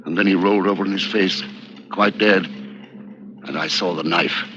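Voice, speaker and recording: An actor playing a courteous, sceptical British gentleman with a dry, understated manner, in 1950s radio drama style. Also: deep voice, steady tone, measured pace, background noise. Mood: grave, narrating. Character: unflappable, polite, sceptical British gentleman, narrating his adventure. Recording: muffled 1950s radio recording, music behind.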